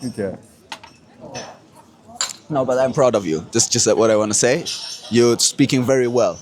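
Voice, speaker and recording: A man's voice: mid-range voice, normal speed, darkish tone. A man from Kurdistan who hosts the show as a hypnotist, talking to camera with boastful bragging and profane street slang. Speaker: hypnotist and host. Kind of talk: brash motivational talk to camera.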